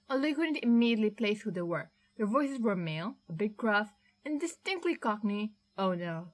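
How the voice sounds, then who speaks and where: A woman reading fan fiction aloud as a podfic in steady, even narration. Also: medium pitch, rough recording. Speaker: podfic reader.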